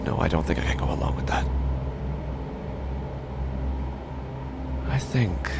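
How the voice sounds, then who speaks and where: A man playing a deranged, paranoid, murderous narrator in a horror audio drama, speaking in a menacing, deliberate, breathy half-whisper. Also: sits low, very noisy, full character voice. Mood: agitated, resolving. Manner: slow, menacing, deliberate confessional delivery.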